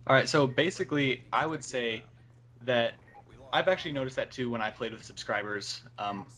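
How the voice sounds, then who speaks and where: A nineteen-year-old man chatting casually as a guest on a gaming show, halting as he searches for words. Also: medium pitch, a bit bright. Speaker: guest on a gaming video series. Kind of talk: casual chat over a call.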